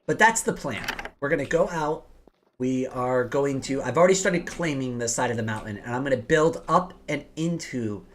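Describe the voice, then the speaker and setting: A woman with a rough, slightly hoarse and rattly voice, chatting casually as she hosts a game livestream and talks through her plans. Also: a bass voice, expressive, normal speed, a little hiss or hum. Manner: chatty, explains plans aloud while playing. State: hoarse from days of coughing. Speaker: livestream host. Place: home office. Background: music.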